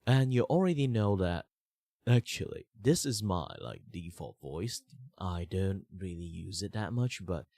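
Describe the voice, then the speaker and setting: A young man from Malaysia, slightly sick with a rough throat, chatting casually and rambling on his art stream with many fillers and restarts. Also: sits low, animated, measured pace, warm tone, dry recording, studio quality.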